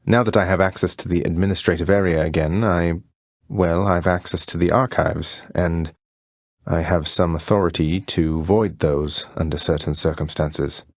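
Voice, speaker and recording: A man's voice: low-pitched, steady tone, moderate pace, dry recording, clean studio sound. A man playing a young, rebellious prince of the underworld in a video game, wry, understated and casually courteous. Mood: hesitant, earnest. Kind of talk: video game character voice lines.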